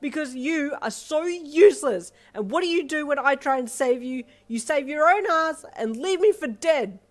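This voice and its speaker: A woman's voice: lively intonation, moderate pace. A woman doing a shrieking, spiteful mother. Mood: furious, spiteful. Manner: shrill, vicious shouting. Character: cruel bruised mother, screaming blame at daughter.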